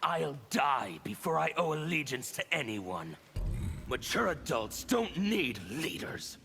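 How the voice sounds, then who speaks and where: An actor playing a fanatical, menacing anarchist warlord in a fighting game, with a gravelly voice and theatrical defiance. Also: male voice, a little high, sing-song, takes it slowly, pushed and bright, faint music behind, full character voice. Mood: defiant. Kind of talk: fighting game character dialogue.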